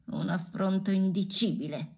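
Haughty, indignant young nurse. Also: female voice, medium pitch, steady tone, normal speed, creaky, breathy edge. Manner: snobbish, defiant, declamatory. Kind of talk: Italian radio drama acting.

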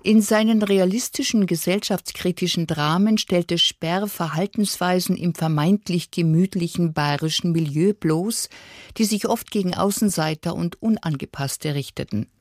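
A woman radio announcer delivers a scripted introduction. Her voice sits a little low and is recorded close and dry, with no room sound. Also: moderate pace, a little mellow, no echo.

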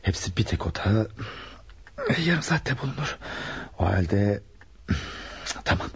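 An actor playing a nervous, scheming opportunist with a jittery, muttering way of talking in a Turkish radio drama. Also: male voice, lowish voice, darkish tone, husky. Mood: furtive, calculating. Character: nervous scheming male opportunist hiding stolen loot. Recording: narrow-band radio recording, faint hiss.

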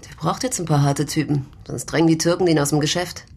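Low, gravelly, streetwise prostitute. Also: female voice, steady tone, fast, a little mellow, heavily acted. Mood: defiant, confiding. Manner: curt, wary, blunt. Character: tough, guarded red-haired brothel prostitute, streetwise and proud. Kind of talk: naturalistic German radio drama acting.

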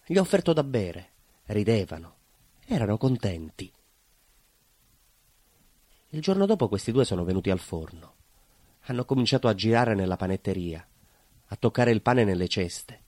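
A man's voice: fairly low pitch, sing-song, normal speed, pauses often, a little mellow, no room sound. A man playing a nostalgic, naive, proud neighbourhood baker in a theatrical monologue, with a southern Italian accent and a colloquial, rambling, emphatic way of telling. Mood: naive storytelling. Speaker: stage actor.

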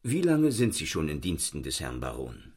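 A man playing a zealous, sceptical Paris police inspector in a radio crime comedy, questioning briskly and dryly. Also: very deep voice, wildly swooping pitch, a little mellow, slightly rough, full character voice. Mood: probing.

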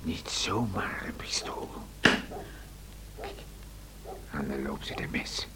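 A broken, menacing master of a lonely house in a radio play. He speaks very slowly in a low, half-whispered voice. His manner is halting and conspiratorial, full of bitterness. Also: expressive, full character voice. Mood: secretive, menacing. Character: embittered, menacing drunkard master of a moorland house. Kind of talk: radio drama acting.

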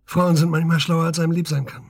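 An actor playing a wry, inquisitive middle-aged police officer questioning an old friend in a naturalistic radio drama. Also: male voice, fairly high pitch, level tone, fast, creaky. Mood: probing. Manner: inquisitive, prodding, familiar.

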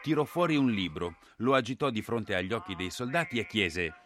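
An actor playing a soldier who recalls his officer in measured, literary narration for a radio play. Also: on the low side, lively intonation, a bit bright, slight background noise. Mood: reflective. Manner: storytelling, descriptive.

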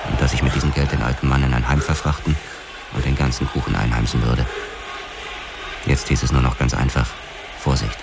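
A man's voice: rumbling low, little pitch movement, a little fast, vocal fry, pauses often, background noise. An actor playing a hard-boiled boxer turned policeman, narrating his past tersely and grimly in a noir radio drama. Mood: tense, grim. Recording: music behind the narration. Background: dramatic music.

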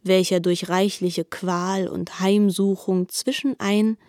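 A woman narrating a literary radio drama in a measured, articulate way. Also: medium pitch, steady tone, warm tone, no echo, studio quality.

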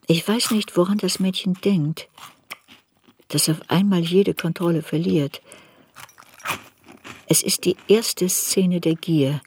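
An actress playing a serious woman looking back on her girlhood shame, narrating a literary radio play in a measured, introspective way. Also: sits low, darkish tone, slightly rough, full character voice.

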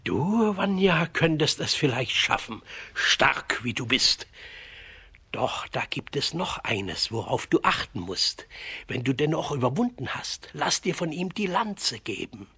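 A man playing a gruff village father in a children's radio play, with a very husky, forceful voice. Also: fairly high pitch, very animated, a little slow, full character voice. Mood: earnest advice.

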